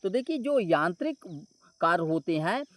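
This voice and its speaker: A man's voice: high-pitched, big pitch swings, moderate pace, dry recording. Man teaching exam solutions, dry close sound. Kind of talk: explanatory exam solution walkthrough.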